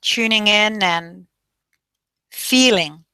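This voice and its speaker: A woman leading a guided meditation in a slow, soothing voice that drifts into soft breathy passages. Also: medium pitch, no echo.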